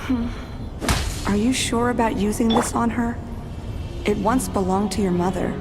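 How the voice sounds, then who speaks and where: A woman's voice: a little high, steady tone, normal speed, music in the background, natural voice. A woman playing an earnest, loyal friend in a fantasy video game. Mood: concerned.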